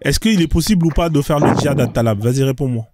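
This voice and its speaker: A man's voice: medium pitch, quick pace, background noise. A man hosting a live stream debate, pressing his caller with repeated questions and cutting in. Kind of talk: heated debate.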